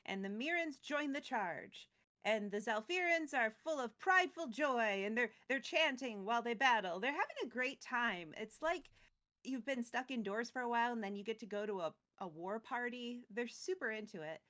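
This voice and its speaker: A woman with a slightly high voice retells a story as co-host of a recap show. She is casual and chatty. Her asides are playful and wry. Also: lively intonation, normal speed, a bit bright.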